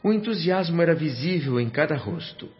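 A man's voice: fairly high pitch, expressive, natural voice. A man as a reverent radio drama narrator over music. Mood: reflective. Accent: Brazilian. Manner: measured, reverent, literary. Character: reflective male doctor narrating his afterlife memories. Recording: dull lo-fi sound over music.

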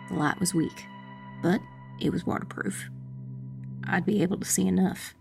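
A woman playing a determined witch who narrates her own dark fantasy audiobook tale in a measured, dramatic, husky and creaky voice. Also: on the low side, full character voice. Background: music.

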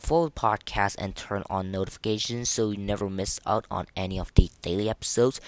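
A man with a fairly low voice hosts a casual gaming podcast. He talks in a halting, informal way, circling back and repeating words before moving on. The sound is close and dry, with little room in it. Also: no room sound. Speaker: podcast host.

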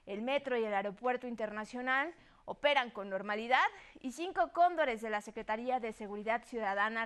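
High-voiced Mexican woman news anchor. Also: fairly bright tone, clean tone. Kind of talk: broadcast news presenting.

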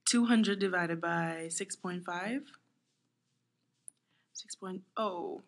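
Casting: young woman from Trinidad, podcast co-host, easygoing chatter, quick to laugh. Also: lowish voice. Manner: laid-back, laughs often, trails off mid-thought. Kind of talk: casual chat.

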